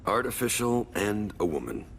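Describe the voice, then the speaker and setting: An actor playing a gruff, crude, sneering squad soldier in a video game, with a gravelly voice. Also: male voice, lowish voice, very animated, moderate pace, a bit bright, a little hiss or hum, exaggerated voice. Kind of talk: video game character acting.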